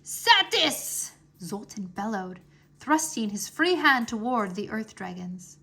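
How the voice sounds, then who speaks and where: A woman reading a children's fantasy chapter book aloud as an expressive storyteller. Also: medium pitch, measured pace, husky. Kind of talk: expressive scripted narration.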